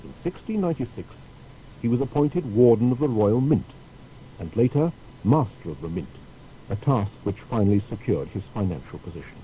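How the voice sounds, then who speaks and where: A man's voice: fairly low pitch, moderate pace, poor audio. A man narrating a scripted historical biography in a formal, storytelling manner. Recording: steady faint background noise.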